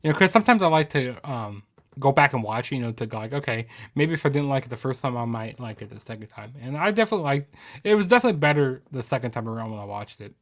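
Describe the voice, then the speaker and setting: A man's voice: mid-range voice, animated, quick pace, a bit bright. A man giving a casual, rambling spoken review of a wrestling show, full of ums, you-knows and restarts.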